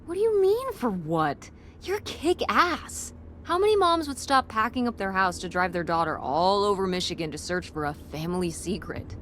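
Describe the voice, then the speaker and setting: An earnest teenage daughter, played by an actress, talks in a casual, slangy, sincere way. Her voice sits at an ordinary pitch. A faint hiss lies under the game dialogue. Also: animated, moderate pace, fairly bright tone, lightly acted. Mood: upbeat. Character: earnest teenage daughter, budding web designer. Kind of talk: naturalistic game voice acting.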